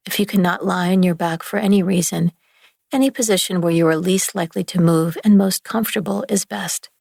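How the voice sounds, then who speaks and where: Woman doing guided meditation, gravelly hushed voice, super dry close sound, long pauses. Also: fairly low pitch, steady tone, no echo. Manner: soothing, gentle, hushed delivery with long pauses. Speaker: yoga nidra meditation guide.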